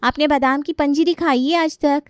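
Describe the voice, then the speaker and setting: A woman's voice: sits high, steady tone, normal speed, no echo, studio quality, lightly acted. A woman playing an outspoken, suspicious young bride in a story, insistent and knowing. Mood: insistent, resentful.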